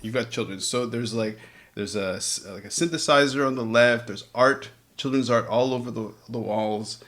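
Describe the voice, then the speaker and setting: A man's voice: medium pitch, moderate pace, fairly bright tone. A man hosting an interview in a guest's home, talking casually with playful teasing and frequent laughter. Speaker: podcast host.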